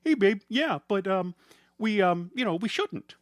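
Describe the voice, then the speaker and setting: A man voicing a good-natured, easily flustered small-town baker in a comic audio story, with an excitable, hurried manner. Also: dry recording, studio quality. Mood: bashful. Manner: hurried, high-pitched, excitable.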